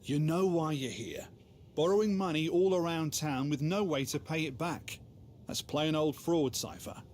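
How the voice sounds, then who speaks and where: A man's voice: fairly high pitch, a little mellow, lightly acted. Man as a firm lawman over background noise. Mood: stern, regretful. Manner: matter-of-fact, measured. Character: firm but sympathetic male lawman. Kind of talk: dramatic game voice acting.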